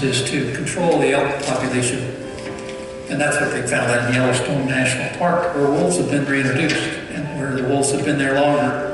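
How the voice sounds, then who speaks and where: A man's voice: mid-range voice, distant mic. A man giving an explanatory interview about his field, as in a documentary. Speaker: documentary interviewee on wolf ecology. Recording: echoey hall playback from afar, music.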